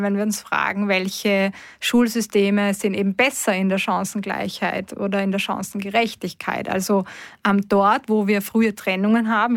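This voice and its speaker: A woman education researcher, a talk show guest who explains in a measured way with some fillers. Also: medium pitch, dry recording, studio quality.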